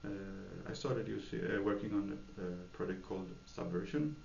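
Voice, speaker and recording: A man with a low, gravelly voice answers questions slowly, pausing on fillers and restarting his sentences. The sound is echoey and muffled, with a faint hiss behind him. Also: steady tone, a little mellow. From Sweden. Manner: hesitant, many fillers and restarts. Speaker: software developer, interviewee. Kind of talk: thoughtful interview answers. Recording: echoey room, dull lo-fi sound, faint noise.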